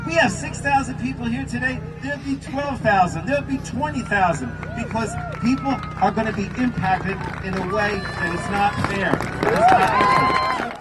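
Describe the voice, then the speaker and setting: High-voiced man speechifying at a noisy outdoor rally. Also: wildly swooping pitch, moderate pace. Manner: emphatic, repeats phrases for effect. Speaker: politician addressing a rally. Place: outdoors in a park among a crowd. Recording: far-off phone recording, noisy outdoor crowd.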